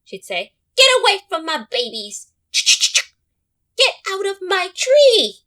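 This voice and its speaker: Casting: woman to play old mama squirrel for a picture book. Fierce, bright, forceful, protective scold. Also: very high-pitched, wildly swooping pitch, slow pace, voice pushed up, exaggerated voice. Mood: furious scolding. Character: fierce, scolding old mother squirrel guarding her babies. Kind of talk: children's storybook character voice.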